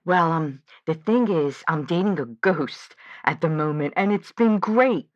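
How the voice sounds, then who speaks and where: A woman's voice: sits low, lively intonation, a little slow, no echo, dull audio. A woman playing an earnest, lovelorn and anxious caller in a radio drama, speaking hesitantly and with open feeling. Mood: earnest, fond. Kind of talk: scripted audio drama.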